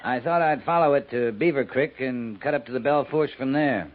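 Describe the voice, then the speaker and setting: An American actor playing a terse, probing cavalry captain in a 1950s western radio drama, with a dry, clipped manner. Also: male voice, mid-range voice, fairly quick, close to an everyday voice. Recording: noisy, tinny 1950s radio recording.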